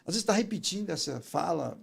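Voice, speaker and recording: Brazilian man, creaky raspy voice, emphatic, didactic, conversational interview guest, frequent agreement tags. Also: sits high, lively intonation, fairly quick. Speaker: guest, public speaking teacher.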